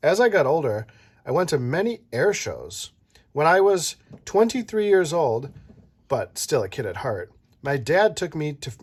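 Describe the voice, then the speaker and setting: An adult reading a children's picture book aloud, playful and animated, stopping to chat with the child listening. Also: mid-range voice. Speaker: read-aloud storyteller.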